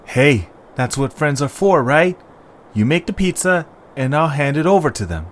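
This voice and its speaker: A man's voice: fairly high pitch, very animated, normal speed. A man voicing a loyal, reassuring young adventurer in an audio drama. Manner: friendly, reassuring. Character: loyal, easygoing, helpful young male adventurer. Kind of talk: cartoonish audio drama acting. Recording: faint background noise.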